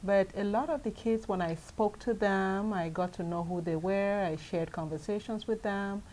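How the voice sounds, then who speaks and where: A woman's voice: medium pitch, normal speed, a little mellow, slight background noise, rough recording. A middle-aged woman from Cameroon, a guest educator explaining her ideas point by point in an interview. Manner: earnest, methodical, explains point by point. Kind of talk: interview answers.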